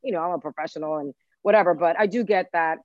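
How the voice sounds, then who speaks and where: A woman's voice: lowish voice, fast talker, dry recording, dull audio. A woman from Cuba who grew up in Washington D.C., speaking casually and emphatically as an interview guest. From born in Cuba, grew up in Washington D.C.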